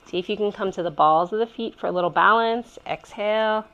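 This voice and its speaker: A woman with a cold and a stuffy, congested voice, leading a gentle home yoga class with soft, encouraging cues and contented sighs. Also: mid-range voice, fairly quick, lo-fi sound. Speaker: yoga instructor. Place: messy living room at home.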